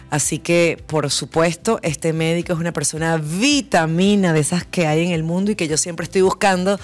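A woman hosting a news chat show in a small studio, exclaiming and laughing often. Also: low voice. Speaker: host. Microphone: studio mic on boom, wearing headphones.

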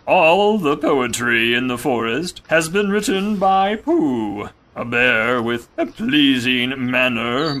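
A man playing a gloomy, self-important donkey poet in a children's audio drama, ponderous, halting and grumbling. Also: medium pitch, fairly bright tone. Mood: pompous, droll.

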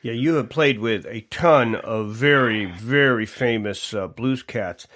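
A man's voice: mid-range voice, a little slow, a bit bright. A middle-aged man from Connecticut, hosting a podcast interview in a hesitant way with many fillers and restarts. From Connecticut, USA. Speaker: podcast host. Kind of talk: casual interview chat.

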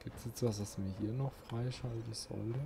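A man's voice: mid-range voice, fairly even intonation, moderate pace, vocal fry, background noise. A man giving casual gameplay commentary, musing informally to himself and his viewers as a let's play host.

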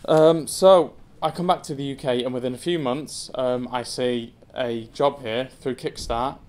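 A young man in his twenties from England speaks at a lectern in a wood-panelled room before a seated audience. His delivery is hesitant and casual, peppered with ums and light self-deprecation, at an ordinary mid pitch. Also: steady tone, unhurried, darkish tone, clear, resonant tone. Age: 20s. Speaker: zoo community engagement assistant giving a talk. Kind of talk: personal story talk to an audience.

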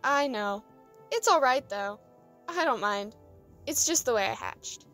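A woman playing a small, unsure young female dragon in an audio drama, earnest and halting. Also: a little high, lively intonation, fairly bright tone, faint music behind. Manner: hesitant, halting delivery.

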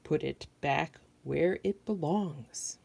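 A woman's voice: sits low, takes it slowly, gravelly, darkish tone, breathy edge. A middle-aged American woman reading a picture book aloud to children, adding simple questions and observations as she goes. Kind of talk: read-aloud storytelling with asides to young listeners.

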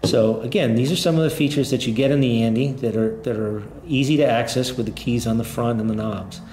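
A man's voice: medium pitch, fairly quick, darkish tone. A middle-aged man informally demonstrating a product step by step, in plain-spoken, unscripted talk. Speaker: company representative presenting a product. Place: indoor room at a tabletop. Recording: roomy echo, faint background noise.

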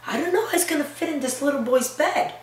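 A woman in her thirties, a preschool teacher reading aloud and talking to young children in a simple, expressive way with questions for them. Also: sits high, quick pace. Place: indoor classroom-like room. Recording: roomy echo, distant mic, faint noise.

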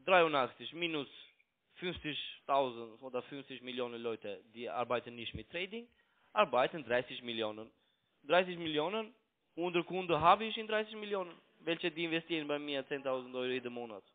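A man's voice: fairly high pitch, moderate pace. A young man with a foreign accent, arguing insistently and repetitively in broken, non-native speech over a telephone. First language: not German. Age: young adult. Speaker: phone scam caller. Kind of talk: argumentative phone conversation. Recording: tinny, narrow-band phone line.

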